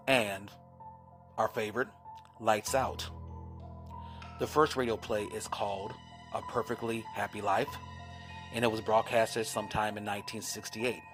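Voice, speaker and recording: A man hosting a horror radio show, giving a scripted introduction in a drawn-out delivery. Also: fairly low pitch, a bit bright. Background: music.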